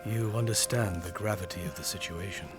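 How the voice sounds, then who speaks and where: A man's voice: sits low, a little fast, over loud music, close to an everyday voice. A man playing a grave, terse knight in an audio drama, speaking solemnly.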